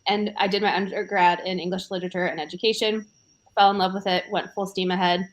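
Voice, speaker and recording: A woman's voice: medium pitch, flat delivery, quick pace. American woman from ohio, thirties, upbeat, on a video call. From Ohio, United States. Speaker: student panelist.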